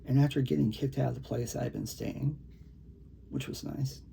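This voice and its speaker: Male poet, very creaky and mellow, casual and halting delivery between chat and reading, faint noise behind the voice. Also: medium pitch. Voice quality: gravelly, creaky. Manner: halting, rambling, conversational. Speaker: poet reading own work. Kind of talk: casual talk and poetry reading.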